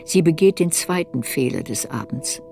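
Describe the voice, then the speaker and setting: A woman's voice: low-pitched, lively intonation, moderate pace, creaky, warm tone, soft background music. A woman playing a reflective narrator who looks back on a girl's story in a literary radio play. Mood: reflective, sober.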